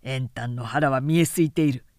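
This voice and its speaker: A woman plays a shrewd, scornful warlord in an audiobook saga. He speaks in a lowered voice with mocking authority. The recording is dry and close. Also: moderate pace, darkish tone, dry recording. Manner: commanding, mocking.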